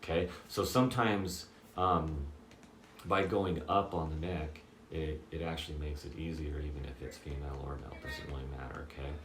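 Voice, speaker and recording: Low-voiced man teaching guitar. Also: normal speed, reverberant, slight background noise. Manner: relaxed, explains with frequent "okay?" and "does that make sense?". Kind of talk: casual guitar lesson.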